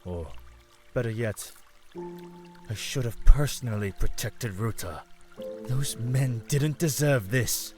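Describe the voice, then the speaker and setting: A man's voice: mid-range voice, slow, close to an everyday voice. A man voicing a young, earnest fish-folk prince in an audio drama, speaking formally. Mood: guilty, grieving. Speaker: voice actor. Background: music.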